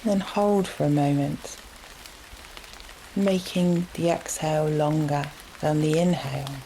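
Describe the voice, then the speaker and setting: A woman leading a guided relaxation meditation in a gentle, soothing manner, leaving long unhurried pauses between phrases. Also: low-pitched, slight background noise, rough recording. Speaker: yoga nidra meditation guide. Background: steady rain sounds.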